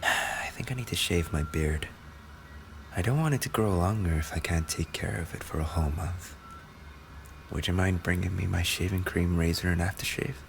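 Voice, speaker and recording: A man playing an affectionate, injured racing driver boyfriend in an intimate roleplay audio, speaking softly and tenderly, often close to a whisper. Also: deep voice, moderate pace, gravelly, slight background noise. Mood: relaxed, affectionate.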